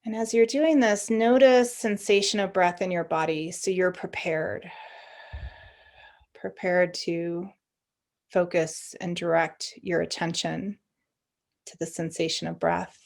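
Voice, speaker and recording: A woman leading a guided meditation as a teacher, giving gentle, encouraging instructions with pauses. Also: fairly low pitch, steady tone, vocal fry.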